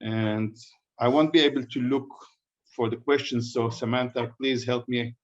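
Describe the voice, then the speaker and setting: An Israeli man opens a lecture on a video call. He speaks haltingly and often restarts a thought before he finishes it. The audio is dull and lo-fi. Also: medium pitch, fairly even intonation. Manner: halting, with restarts and self-corrections. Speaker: guest presenter. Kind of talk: online lecture introduction.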